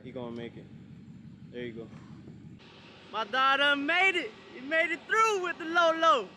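A young man talks straight to his viewers in loose street slang, voice bright and pushing forward. He gets loud and hyped when the cars start moving outside. Faint outdoor noise sits behind him. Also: very high voice, moderate pace. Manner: hyped slang-heavy street talk, yelling when excited. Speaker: car vlogger. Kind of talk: casual vlog talk to viewers. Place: outdoors at an abandoned airbase, around cars.

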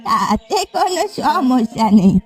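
নারীর অভিনয়ে ধীরগতির গ্রামের বুড়িমা. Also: female voice, sits high, big pitch swings, breathy edge, exaggerated voice. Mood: obliging. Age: older. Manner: slow, drawn-out, obliging. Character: willing, helpful elderly village matriarch. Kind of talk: Bengali cartoon acting. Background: background music.

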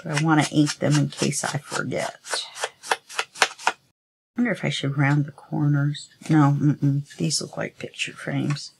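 Flat-voiced woman chatting while crafting. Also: deep voice, unhurried, vocal fry. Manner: chatty, talks to herself while working. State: a little sniffly. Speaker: craft tutorial host. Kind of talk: casual crafting tutorial narration. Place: craft table at home. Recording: noisy home recording.

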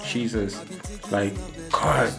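A man's voice: on the low side, extremely slow, gravelly. A young man co-hosting a casual video chat in a small room, teasing and playful with loose rambling talk. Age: young adult. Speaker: vlog co-host. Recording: music playing behind.

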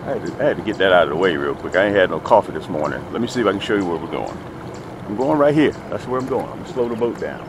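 A man's voice: low-pitched, lively intonation, very fast. A middle-aged man talking to viewers in a casual, folksy fishing vlog, emphatic and fond of repeating phrases. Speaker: host of a fishing channel. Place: outdoors on a small boat on a lake. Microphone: action camera mounted near him. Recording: outdoor noise, small action camera.

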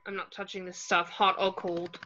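A woman's voice: fairly low pitch, little pitch movement, moderate pace, pushed and bright. A woman playing a blunt, down-to-earth teenage boy wizard in a scripted table read on a dull-sounding video call. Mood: blunt, casual.

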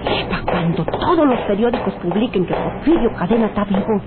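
A woman's voice: mid-range voice, expressive, fairly quick, close to an everyday voice. An actress with a Mexican accent playing a scheming, vengeful woman talking to herself in a radio drama. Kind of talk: old-time radio drama acting. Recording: tinny, very noisy old radio recording.

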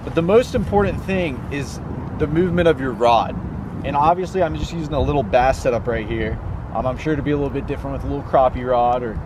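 A man's voice: medium pitch, fast. A young man, casually and enthusiastically explaining fishing in a folksy way as a video host. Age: young adult. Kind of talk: casual instructional fishing vlog. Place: outdoors on a pond bank. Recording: outdoor wind and ambient noise.

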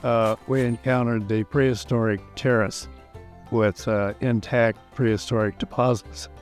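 Older man, American accent, archaeologist interview, halting delivery, medium pitch, faint music behind. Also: steady tone. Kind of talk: documentary interview. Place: outdoors at a dig site.

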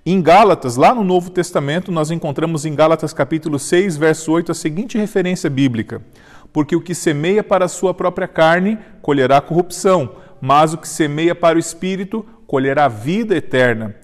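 A man in his thirties gives a devotional Bible lesson, earnest and measured, slowing down as he reads scripture aloud. His voice sits a little high for a man. A long reverb trails behind him in the studio. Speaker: Bible study teacher. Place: indoor studio set with armchair and flowers.

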